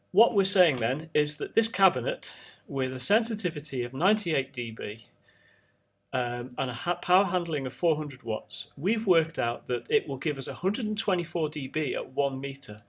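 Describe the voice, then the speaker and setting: A man teaching audio engineering, talking through each calculator step in a relaxed screen-recorded tutorial. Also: a little high, normal speed, slightly rough.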